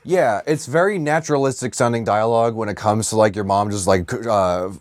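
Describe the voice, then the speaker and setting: A man co-hosting a casual comedy podcast, joking irreverently in halting, sweary sentences. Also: fairly low pitch, no echo. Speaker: podcast co-host.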